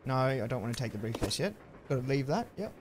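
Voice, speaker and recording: This is a laid-back Australian man in his thirties, chatting to viewers on a headset mic during a live game stream. His pitch sits in the middle of the range, and his easy chatter now and then jumps into loud shouts. A faint hum runs behind him. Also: very animated, fast talker. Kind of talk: casual live-stream chat while gaming.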